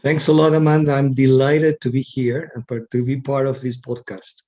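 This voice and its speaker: A man's voice: medium pitch, level tone, moderate pace, a little mellow, lo-fi sound. A middle-aged man from Argentina with an Argentine Spanish accent, a guest in an interview, speaking thoughtfully and often repeating words as he searches for phrasing. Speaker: guest, executive coach. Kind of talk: casual interview talk.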